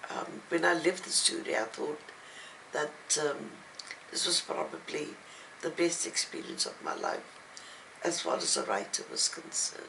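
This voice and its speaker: An older woman speaking as an interviewed writer, reflective and halting, with thoughtful pauses. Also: low-pitched, animated, creaky, background noise. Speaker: novelist and playwright being interviewed. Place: at home, indoors.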